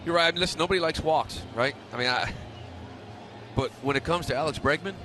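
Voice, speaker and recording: A man's voice: fairly high pitch, quick pace, sharp tone. A man giving conversational live baseball analysis as a radio color commentator. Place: stadium broadcast booth. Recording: noisy live ballpark radio broadcast.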